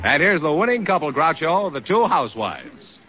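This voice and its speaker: An American male announcer from the golden age of radio, with a slightly high, animated voice. He delivers scores and credits in formal broadcast style on a muffled old recording. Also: normal speed, faint music behind.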